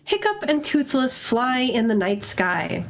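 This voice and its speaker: A woman's voice: sits high, big pitch swings, unhurried, slight background noise. A woman narrating a story live in a group reading, with casual asides.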